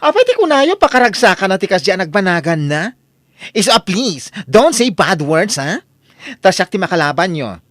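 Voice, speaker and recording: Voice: mid-range voice, very animated, normal speed, fairly bright tone, dry recording, clean studio sound. An actor playing an outspoken, sassy but kind-hearted friend in an Ilocano audio drama, exclaiming dramatically with an Ilocano accent. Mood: scolding.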